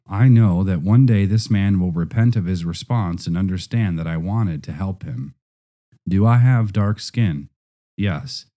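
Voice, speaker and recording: Deep, very mellow male narrator.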